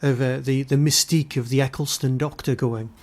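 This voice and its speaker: A man's voice: mid-range voice, fairly even intonation, a little fast. A man hosting a podcast interview, chatting informally and jokingly with his guest. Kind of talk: casual interview chat.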